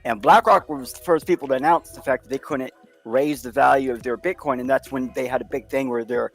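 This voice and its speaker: A man's voice: medium pitch, brisk, vocal fry. A man with an ordinary mid-range voice lays out his argument at length in an earnest, conversational way. Faint music plays under the talk. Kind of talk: casual chat.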